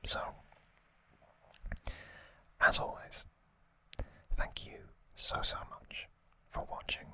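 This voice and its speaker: A man hosting ASMR in a breathy, unvoiced whisper, rambling hesitantly and a little self-deprecatingly as he chats. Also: drawn-out words, pauses often, sharp tone. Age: late 20s or older. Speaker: ASMR channel host. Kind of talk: whispered ASMR unboxing chat.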